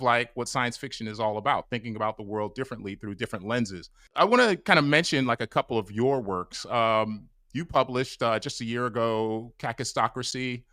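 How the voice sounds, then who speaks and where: Brief: bright, crisp male host, loose and rambling with fillers, dry close mic. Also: medium pitch, dry recording. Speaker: podcast host. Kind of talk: casual interview chat. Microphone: mic on a stand over a video call.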